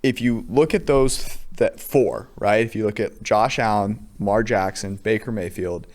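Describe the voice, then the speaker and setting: A man's voice: fairly low pitch, moderate pace, a little mellow. A man co-hosting a casual sports talk show, steering the conversation with wry, teasing remarks. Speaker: co-host.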